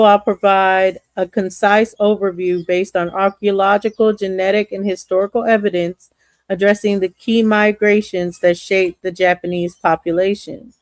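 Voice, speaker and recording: A woman reads a written overview aloud for a podcast, stumbling now and then over words. Her delivery stays flat and even, and the sound is dry and close. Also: medium pitch, no room sound. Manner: flat, careful reading with stumbles.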